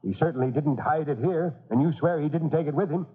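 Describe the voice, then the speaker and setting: A man's voice: a little high, fast talker, breathy edge. An actor playing a grumpy but fatherly fire chief, blustery and exasperated, in an old-time radio drama. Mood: puzzled, gruff. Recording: muffled lo-fi vintage radio recording.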